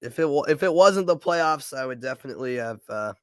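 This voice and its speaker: A congested man with a slightly sore throat talks through a live intermission report, loose and chatty, with plenty of fillers. His voice is smooth and close to the mic, in a dry room with no echo. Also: medium pitch, animated, brisk, fairly bright tone. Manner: casual, chatty, frequent fillers and restarts. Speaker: play-by-play commentator. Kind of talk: live stream intermission commentary.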